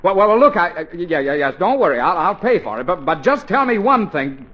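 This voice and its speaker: Flustered, sputtering American dad. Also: male voice, high-pitched, very animated, brisk. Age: middle-aged. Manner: stammering, sputtering, repeats words. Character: flustered, excitable middle-aged father, easily exasperated. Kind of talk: 1940s radio comedy acting. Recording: dull, lo-fi 1940s radio recording.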